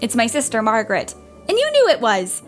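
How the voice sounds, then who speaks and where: A woman's voice: fairly high pitch, brisk, faint music behind. A woman playing a spirited, tomboyish teenage girl in a period audio play, youthful and eager. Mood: eager, curious. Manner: eager, expressive.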